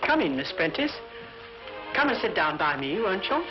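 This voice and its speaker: An actress playing an imperious, courteous older sister with formal, gracious speech in a 1940s British film melodrama. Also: female voice, medium pitch, big pitch swings, music in the background, natural voice. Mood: graciously formal. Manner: formal, gracious, measured wording. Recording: muffled, hissy 1940s film soundtrack.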